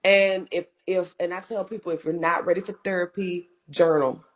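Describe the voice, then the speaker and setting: A woman's voice: lowish voice, steady tone, moderate pace. A woman with a strong voice giving earnest advice in a casual chat, repeating her points for emphasis. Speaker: guest in livestream chat.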